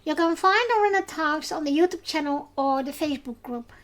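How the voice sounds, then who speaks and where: A Dutch woman hosting a podcast, with a notably high voice. She speaks English as a second language, hesitating often and filling pauses with "uh" and "um." The tone is relaxed and chatty. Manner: hesitant, many filler sounds. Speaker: podcast host. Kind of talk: casual podcast chat.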